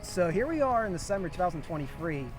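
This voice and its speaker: Young male host, slightly high voice, casual joking banter, outdoor recording with music underneath. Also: sing-song, quick pace, low-quality recording. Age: young adult. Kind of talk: casual video introduction with banter. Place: outdoors at a historic site.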